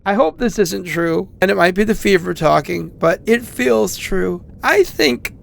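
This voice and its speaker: An actor playing a sick, isolated young man who leaves halting, anxious, apologetic voicemails in a horror audio drama. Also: high-pitched, expressive, creaky, heavily acted. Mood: bitter, accusing. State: feverish, coughing.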